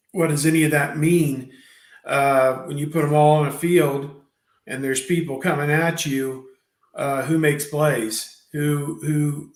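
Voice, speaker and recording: Rambling fifties man in an echoey room. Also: medium pitch. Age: 50s. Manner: rambling, hesitant with many fillers. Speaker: podcast host. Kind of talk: casual sports talk.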